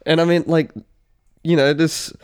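A man's voice: a little high, level tone, a little slow, darkish tone, a little hiss or hum. A young man co-hosting a casual podcast, congested, rambling with lots of "like" and swearing. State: congested, snotty. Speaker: podcast co-host. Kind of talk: casual chat.

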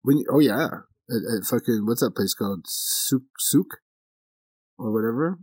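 A man's voice: mid-range voice, measured pace, darkish tone, husky. A man in casual chat, telling blunt, crude stories and asking pointed questions.

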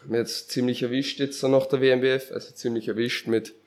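This is a young man with an Austrian accent hosting a casual solo podcast. He sounds stuffed up with a cold, and his medium-pitched voice stays very even. His phrasing is colloquial and meandering, with a hesitant flow. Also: fairly quick. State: sick with a head cold, congested. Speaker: podcast host.

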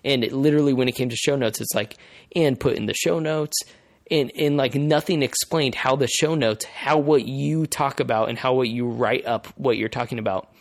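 A male podcast host explaining things in casual conversation, haltingly, repeating phrases as he thinks aloud. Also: medium pitch, vocal fry, dry recording, clean studio sound.

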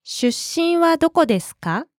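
A woman modeling short phrases for a language lesson, crisp and deliberate. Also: very high-pitched, sing-song, no room sound. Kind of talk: slow, careful phrase modeling.

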